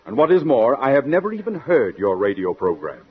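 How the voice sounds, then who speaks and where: An actor playing a haughty, world-weary publicity counsel in a 1940s radio comedy, speaking in florid, formal phrases. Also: male voice, mid-range voice, sing-song, brisk, husky. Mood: haughty, amused. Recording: dull old radio recording.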